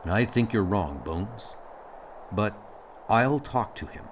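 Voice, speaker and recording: Guy playing a thoughtful space captain in a comic dub, slow deliberate delivery, noisy recording. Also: fairly low pitch, expressive, voice pushed down. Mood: firm. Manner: deliberate, earnest. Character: measured, loyal, reflective male starship captain. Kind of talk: audio comic dub dialogue.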